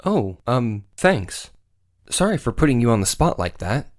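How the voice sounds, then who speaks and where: Man acting a wry, lively-voiced young lawyer. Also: medium pitch, unhurried. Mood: bewildered. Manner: hesitant, sardonic asides. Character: exasperated, polite, wry young male defence lawyer. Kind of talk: dramatized video game dialogue.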